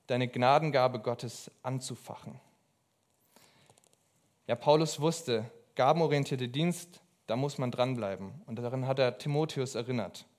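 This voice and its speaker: A young man preaching a sermon in an earnest, emphatic way, pausing between phrases. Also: mid-range voice, darkish tone. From abroad.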